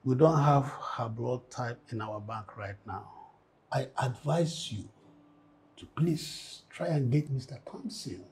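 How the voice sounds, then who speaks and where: A man acting a grave, blunt doctor, husky and gravelly, formal and insistent as he speaks. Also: mid-range voice, slow pace, a little mellow. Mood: calm advising. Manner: formal, insistent, addressing with courtesy. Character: grave, blunt doctor breaking bad news. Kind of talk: melodramatic screen acting.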